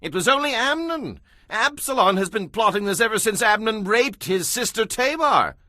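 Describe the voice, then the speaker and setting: Forceful, high-voiced royal courtier. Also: male voice, sing-song, normal speed, dry recording, clean studio sound, exaggerated voice. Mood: urgent, assuring. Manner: emphatic, dramatic. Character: urgent, forceful royal courtier bringing news and counsel. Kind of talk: dramatized audio Bible acting.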